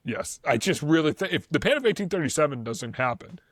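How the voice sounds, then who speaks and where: Podcast co-host, man with a Boston accent, excitable and lively, dry close mic. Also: on the high side, quick pace, no echo. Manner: animated, excitable, laughs often. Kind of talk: casual chat.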